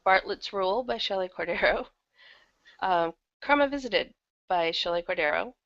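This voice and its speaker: A woman's voice: medium pitch, expressive, a little slow, a bit bright, dry recording, dull audio. A woman chatting casually as an interview guest, talking in a loose, conversational way and sometimes correcting herself. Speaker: interview guest, audio drama producer and audiobook narrator.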